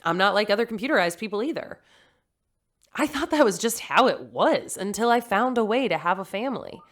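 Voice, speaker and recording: A woman's voice: medium pitch, animated, a little fast, lightly acted. An actress playing a computerized mother who speaks earnestly and from the heart. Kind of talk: sci-fi radio drama.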